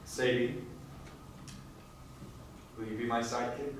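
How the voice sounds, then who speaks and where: A man's voice: mid-range voice, steady tone, measured pace, close to an everyday voice. A man playing a self-effacing, easygoing fellow in a stage musical comedy, modest and hesitant. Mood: earnest, modest. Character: mild, self-effacing easygoing man finding his nerve. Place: live staged reading before audience. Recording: echoey stage, distant mic, faint noise.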